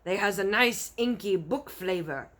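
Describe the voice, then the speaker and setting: A woman's voice: mid-range voice, animated, a little slow, a bit bright. A woman voicing a brutish man-eating male giant in a storybook, roaring and gloating in mangled grammar. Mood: gloating, boastful.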